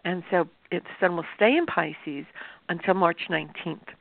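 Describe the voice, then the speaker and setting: A woman astrologer hosting a show, talking to viewers in a chatty, explanatory way, with a sore throat that makes her voice gravelly. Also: lowish voice, moderate pace, no room sound. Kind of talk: informal talk-show monologue. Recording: tinny phone-like dry sound.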